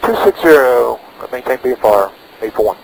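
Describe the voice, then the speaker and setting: A man pilots a small plane and speaks over a headset in short procedural phrases. His pitch is middling. He switches between radio calls and briefing himself, with a faint engine drone on the intercom. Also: animated, fairly quick, gravelly, noisy background. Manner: talks himself through checks in short phrases. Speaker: private pilot. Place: inside a small propeller plane in flight. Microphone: aviation headset.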